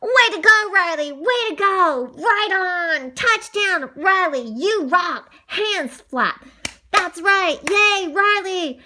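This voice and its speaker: A woman voicing excited young boys cheering on their football teammate in a children's story. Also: very high voice, slow, pitched above the performer's own voice, heavily acted. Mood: jubilant. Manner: cheering, exclamatory.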